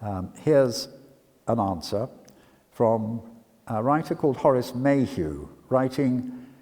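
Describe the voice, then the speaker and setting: A man's voice: medium pitch, animated, slow pace, a little mellow. Older man lecturing in a reverberant church. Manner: hesitant, often repeats words between polished phrases. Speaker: lecturer and dramatic reader. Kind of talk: public literary talk with read-out quotations. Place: talk in a church before an audience. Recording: reverberant church, long echo.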